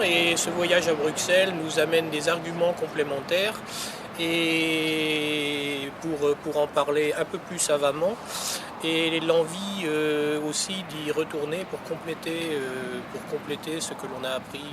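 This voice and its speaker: An older man with a fairly high voice answers an interviewer in formal, measured phrases. He pauses between them, with cars and outdoor noise clearly audible behind him. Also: steady tone. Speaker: local elected representative being interviewed. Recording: noisy outdoor recording with traffic ambience.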